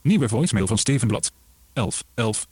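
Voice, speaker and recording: A man's voice: medium pitch, lively intonation, normal speed, darkish tone, husky, dry recording. A synthetic male screen reader voice announcing short labels through a phone speaker. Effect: text-to-speech through a phone speaker.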